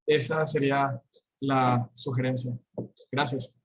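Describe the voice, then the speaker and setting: A man at an online academic conference, speaking in a slow, measured way. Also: mid-range voice, flat delivery. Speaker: conference presenter.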